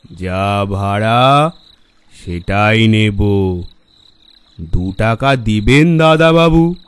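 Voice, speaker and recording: A man playing an eerie, cackling ghostly boatman in a horror audio drama, oily and polite in drawn-out speech. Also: on the low side, fairly bright tone, clear, resonant tone, full character voice.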